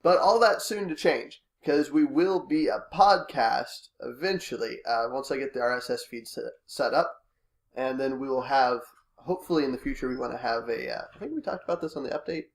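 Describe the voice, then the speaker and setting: A man's voice: medium pitch, normal speed, reverberant. Stuffy-nosed guy with a cold hosting a chill podcast, lots of uhs, kinda rambly. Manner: relaxed, hesitant with many fillers. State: congested with a cold, coughing. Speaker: podcast host. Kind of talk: casual chat.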